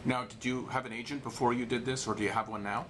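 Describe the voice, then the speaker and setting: A man interviewing a guest in a friendly, chatty way, firing off quick questions. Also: mid-range voice, fairly even intonation, a bit bright, a little hiss or hum.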